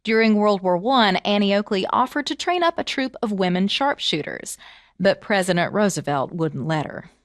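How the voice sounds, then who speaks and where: A woman telling folk tales as a presenter, with expressive, theatrical, emphatic phrasing. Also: mid-range voice, normal speed, no echo, studio quality. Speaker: storyteller and presenter. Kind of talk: animated storytelling narration of American folklore.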